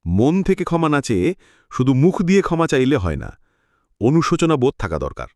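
A man voices a kind, principled village husband in a cartoon, with a mid-pitched voice that rises and falls widely. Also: very animated, fairly quick, mellow, dry recording, studio quality, lightly acted. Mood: earnest. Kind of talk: cartoon voice acting.

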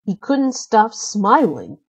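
Slow-paced woman reading a storybook. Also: medium pitch, huge pitch swings, breathy edge, no echo. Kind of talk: read-aloud children's storybook narration.

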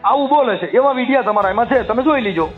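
A man with a Kathiawadi Gujarati accent talks in a very high voice on a muffled phone call recording with music behind. He is emphatic and argumentative, driving his points home by repetition at a quick pace. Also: animated. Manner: emphatic, argumentative, repeats phrases for stress. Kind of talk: opinionated phone conversation.

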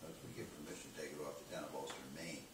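Low-voiced guy on a board, quick and matter-of-fact, far off in an echoey room. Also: little pitch movement. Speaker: water board member. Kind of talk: casual meeting discussion. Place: meeting room around a conference table. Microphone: far camera. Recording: echoey room, distant camera, background noise.

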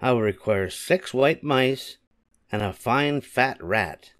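A man voicing a plump, kindly little fairy godmother who gives gently bossy instructions in a storybook. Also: medium pitch, slow, pitched above the performer's own voice. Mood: brisk, instructing. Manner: kind, instructing. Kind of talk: storybook character voice.

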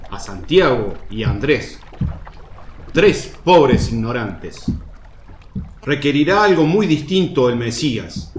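An actor playing a gruff, skeptical, bad-tempered fisherman in a radio drama, mocking and dismissive. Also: mid-range voice. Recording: roomy, faint noise, water ambience.

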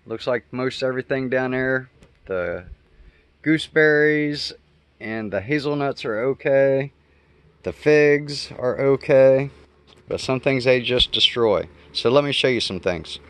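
A man's voice: medium pitch, a little slow, smooth, no room sound. Man casually explaining outdoors, dry sound. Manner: conversational, thinking aloud and self-correcting. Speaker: homestead vlogger. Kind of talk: casual unscripted explainer while walking around the yard. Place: outdoors in a garden yard.

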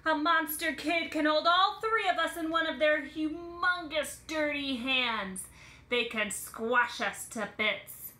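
A woman's voice: sits high, slow pace, bright, forceful tone, voice pushed up, full character voice. A woman voicing a smart, alarmist female cookie from a storybook, giving dramatic, emphatic warnings. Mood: alarmed warning. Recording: roomy home phone recording.

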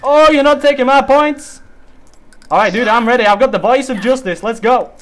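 A young man hosting a casual co-op zombie game session, excitable and chatty, bursting into loud hype over his weapon. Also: very high voice, normal speed. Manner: excitable, hypes gun, swears mildly. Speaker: gaming channel host. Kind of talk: casual co-op gameplay chat.

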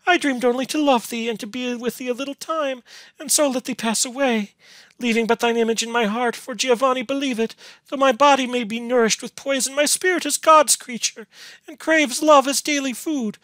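A man voicing a gentle, lonely, devoted young woman in a gothic storybook, with archaic, plaintive, imploring speech. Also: medium pitch, big pitch swings, moderate pace, no room sound, pitched above the performer's own voice. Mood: desperate anguish. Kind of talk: dramatic gothic storybook reading.

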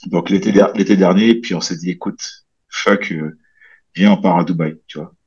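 A man in his thirties from French Guiana talks through a headset on a video call, his voice low and slightly husky. He rambles in a relaxed way, with many fillers and restarts. The call audio sounds a little dull. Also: normal speed. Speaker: guest, bodybuilder and coach. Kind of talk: casual interview chat. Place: small room at home, indoors.

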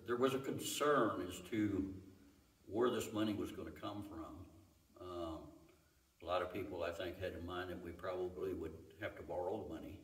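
Older man, deep, echoey church hall. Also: far from the mic. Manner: plain-spoken, hesitant with frequent "uh" fillers. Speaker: former church trustee. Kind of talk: seated interview recollection. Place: large church sanctuary, indoors. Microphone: far camera.

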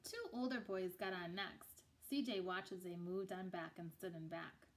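A woman's voice: lowish voice, moderate pace, a little hiss or hum. Woman reading a picture book aloud. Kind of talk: read-aloud children's storytelling. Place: small room indoors.

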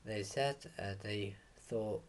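A young man reading a children's picture book aloud in a halting way, stumbling over words now and then. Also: lowish voice, a little hiss or hum. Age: young adult. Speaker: bedtime story reader. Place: small room at home, indoors. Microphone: webcam or laptop mic at desk distance.

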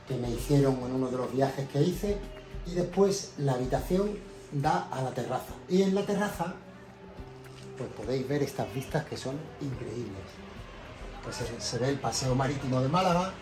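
A man in his forties with an accent from Spain, casually narrating a tour of his home as a vlogger. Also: mid-range voice. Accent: Spanish from Spain. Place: indoor apartment rooms and balcony. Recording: echoey rooms, music underneath. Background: background music.

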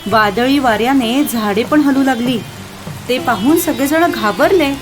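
A woman narrating a children's moral story in an expressive storytelling style. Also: high-pitched, unhurried, soft background music.

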